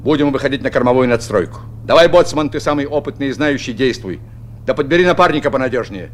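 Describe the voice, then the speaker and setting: An actor playing an authoritative, careful submarine commander in a radio drama, with clipped commanding delivery. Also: male voice, mid-range voice, expressive, fast talker, slight background noise, close to an everyday voice. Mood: commanding, urgent. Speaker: naval commander. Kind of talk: Soviet radio drama acting.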